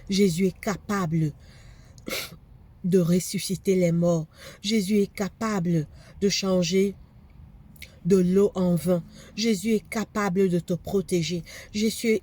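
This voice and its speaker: A woman's voice: lowish voice, fairly even intonation, slow pace, pauses often, mellow, breathy edge. A woman with a cold preaching a fervent sermon, repeating phrases for emphasis. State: has a cold, congested.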